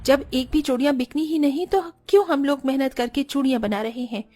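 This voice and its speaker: Poor village daughter-in-law, female performer: high voice, weary and earnest, plaintive cartoon story delivery. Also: fairly quick, dark tone, lightly acted. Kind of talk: animated Hindi storybook dialogue.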